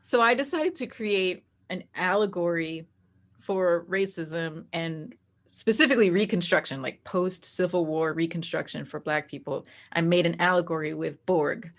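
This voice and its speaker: Lower-voiced woman, chatty interview guest. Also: normal speed. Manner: animated storytelling that acts out quoted dialogue, frequent "like". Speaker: interview guest, game master.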